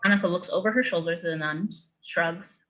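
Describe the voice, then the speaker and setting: Bright, low-voiced woman narrator. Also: a little fast, highs cut off. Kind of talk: reading screenplay stage directions.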